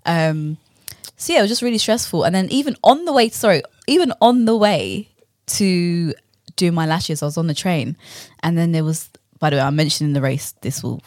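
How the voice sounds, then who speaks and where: A young woman with a London accent co-hosting a podcast, telling personal stories in casual, slangy, animated speech. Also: on the low side, moderate pace, no echo, clean studio sound. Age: young adult. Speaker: podcast co-host. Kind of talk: casual chat and personal storytelling.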